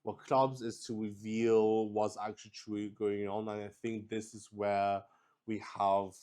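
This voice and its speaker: Male solo RPG host, smooth resonant voice on the lower side, improvising narration in a halting, think-aloud way. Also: level tone, a little mellow. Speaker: solo tabletop roleplay host. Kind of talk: improvised narration of a solo tabletop roleplaying game.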